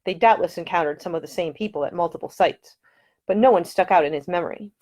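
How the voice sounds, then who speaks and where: A woman's voice: lowish voice, quick pace, a little mellow. A woman reading her own historical novel aloud as scripted audiobook narration.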